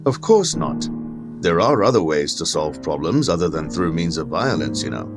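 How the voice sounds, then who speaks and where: An actor playing a haughty, learned skeptic in an audio drama, formal, condescending and given to lecturing. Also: male voice, low-pitched, big pitch swings, normal speed, over music. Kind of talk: dramatic audio fiction acting.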